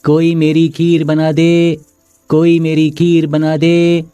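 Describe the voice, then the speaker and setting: A man voicing a gentle, calm god in the form of a boy in a storybook tale. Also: fairly high pitch, takes it slowly, clean tone, no room sound, soft background music. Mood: pleading call. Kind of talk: acted storybook dialogue.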